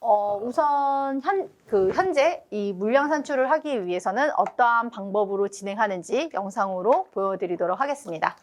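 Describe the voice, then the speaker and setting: A woman's voice: a little high, expressive, normal speed, few pauses. A woman moderating a technical webinar, guiding the talk with questions and short affirmations. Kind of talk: webinar discussion.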